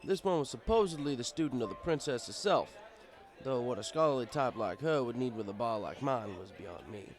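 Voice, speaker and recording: A fatherly male pony bartender , voiced by a man narrates his own audiobook tale. He is easygoing and wry, with an unhurried conversational delivery at a middle pitch. Faint music plays behind him. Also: lively intonation, natural voice. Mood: wry, settled. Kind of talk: first-person audiobook narration in character.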